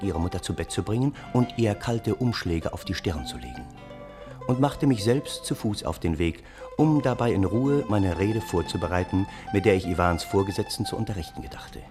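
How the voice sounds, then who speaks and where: An earnest worried gentleman in a radio drama, lowish voice, formal, music underneath. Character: earnest, anxious gentleman narrator pleading for his trapped friend. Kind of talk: German radio play narration and dialogue.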